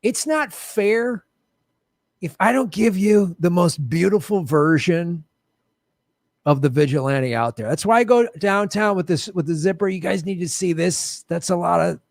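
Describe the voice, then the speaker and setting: A man's voice: on the high side, normal speed, creaky, no room sound, clean studio sound. Joking, self-mocking male livestream host. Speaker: host of a live political show. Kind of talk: casual livestream chat. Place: home studio with bright lights.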